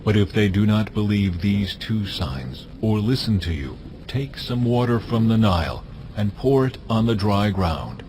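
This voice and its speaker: An actor playing God as a solemn, deliberate, authoritative divine voice in a dramatized scripture reading. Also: male voice, fairly low pitch, expressive, background noise. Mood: stern, authoritative.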